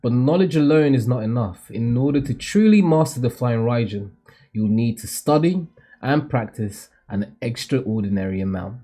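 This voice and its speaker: A man voicing a solemn, cryptic mentor who sees the future, in a theatrical, measured, formal manga read-aloud. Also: mid-range voice, expressive, mellow, close to an everyday voice.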